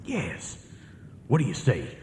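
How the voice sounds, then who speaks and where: An earnest prisoner in echoey reverb. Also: male voice, mid-range voice, huge pitch swings, slow, darkish tone. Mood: hushed, urgent. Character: earnest, devoted Confederate prisoner of war, husband plotting escape. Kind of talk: radio theatre acting. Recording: echoey hall reverb, faint noise.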